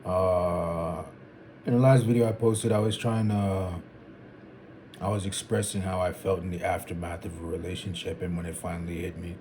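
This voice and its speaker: A man in his twenties thinking out loud in a casual, rambling vlog, restarting sentences and dropping "like" and "bro". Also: low-pitched, steady tone, moderate pace, slight background noise. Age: 20s. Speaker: vlogger. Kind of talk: casual reflective monologue.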